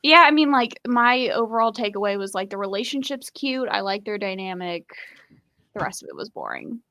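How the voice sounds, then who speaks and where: A woman's voice: medium pitch, sing-song, fairly quick, bright, forceful tone, no room sound. Blunt woman chatting casually, dry close sound. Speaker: co-host of a book readalong live show. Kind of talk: casual chat, book discussion.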